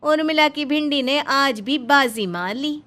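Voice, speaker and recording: Jealous cartoon daughter-in-law, played by a woman: high, whiny, insistent, aggrieved. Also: measured pace, a bit bright. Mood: eager.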